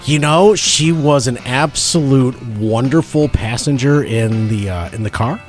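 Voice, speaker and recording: A man co-hosting a pet radio show, bantering playfully with teasing jokes and quick interjections. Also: mid-range voice, soft background music. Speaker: radio co-host.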